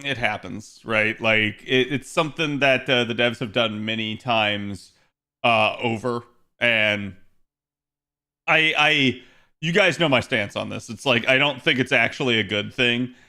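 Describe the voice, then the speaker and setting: A man chatting casually with his live-stream viewers. His voice is a little hoarse and raspy, and he rambles, correcting himself often between fillers. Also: on the low side, bright, forceful tone. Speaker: game streamer. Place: home.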